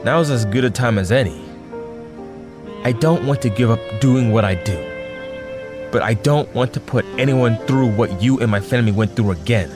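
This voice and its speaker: A man's voice: medium pitch, normal speed, close to an everyday voice. A young man playing an earnest, troubled secret superhero in an audio drama, with a hesitant, pleading delivery. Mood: earnest, weary. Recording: music behind the voice. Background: music.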